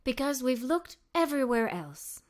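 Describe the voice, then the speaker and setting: Woman voicing a gentle, wistful storybook mum, lively intonation. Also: sits high, moderate pace.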